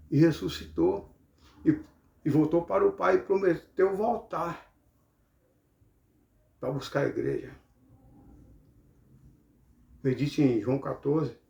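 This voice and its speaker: A man's voice: on the high side, vocal fry, dark tone, echoey room, lo-fi sound. A man from Bahia with a Bahian Brazilian accent, teaching the Bible like a preacher, in a didactic way with rhetorical check-ins.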